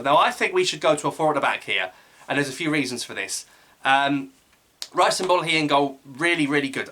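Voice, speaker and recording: Bright-voiced man previewing a match. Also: fairly high pitch. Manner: eager fan talk, quick asides and self-corrections. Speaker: football channel host. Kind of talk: solo football match preview.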